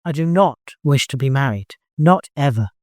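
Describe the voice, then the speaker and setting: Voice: mid-range voice, expressive, normal speed, no room sound, studio quality, natural voice. A narrator voicing a fierce young woman. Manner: bold, forceful, insistent.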